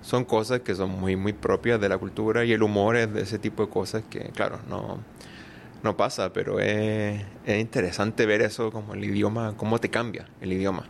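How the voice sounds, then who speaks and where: Gravelly-voiced Chilean man chatting. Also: on the low side, normal speed, slight background noise. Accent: Chilean Spanish. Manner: relaxed, laughs often, many tag questions. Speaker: writer, interview guest. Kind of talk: casual conversational interview.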